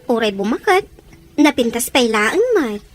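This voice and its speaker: A woman's voice: a little high, very animated, unhurried. A woman with an Ilocano accent playing an admiring, curious young daughter in a radio drama.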